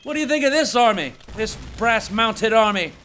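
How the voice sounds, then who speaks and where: Brash mocking soldier, played by a male narrator, pitched very high, bright and forceful, audiobook drama. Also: lively intonation, normal speed. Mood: mocking, incredulous. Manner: loud, incredulous exclamations. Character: brash, mocking soldier shouting to his comrades.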